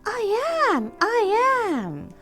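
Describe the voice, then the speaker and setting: A woman voicing an excitable, confident little girl who proudly and dramatically tells her own storybook tale. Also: very high-pitched, drawn-out words, soft background music, pitched above the performer's own voice, heavily acted. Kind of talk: storybook read-aloud.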